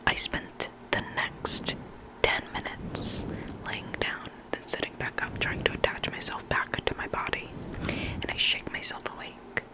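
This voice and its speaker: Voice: unhurried, poor audio. A person whispering scary stories in an ASMR reading style, close to the microphone, sometimes repeating words. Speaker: ASMR reader of listener stories. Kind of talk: whispered ASMR storytelling, reading creepy anecdotes.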